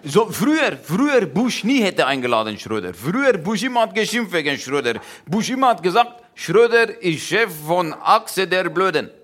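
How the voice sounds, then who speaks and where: A man's voice: high-pitched, sing-song, moderate pace, hardly any pauses, fairly bright tone. A man playing a talkative foreigner who speaks broken German and starts each sentence with "ja". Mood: mocking. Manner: broken word order, constant "ja" openers. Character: chatty foreign man mangling German grammar. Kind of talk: satirical comedy monologue.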